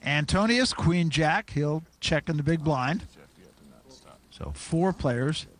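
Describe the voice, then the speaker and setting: A man hosting and calling the action in a televised poker broadcast, with a brisk, measured play-by-play delivery. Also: on the high side, expressive. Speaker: play-by-play commentator.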